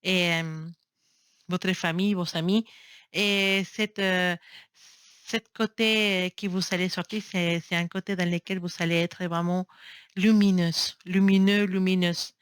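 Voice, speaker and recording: A woman's voice: on the low side, steady tone, a little slow, clean tone, no echo, studio quality. A woman who is not a native speaker, reading tarot cards to camera in a casual, affectionate way, often stopping to search for words and restarting phrases. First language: not French. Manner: affectionate, encouraging. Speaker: tarot reader. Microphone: built-in camera mic.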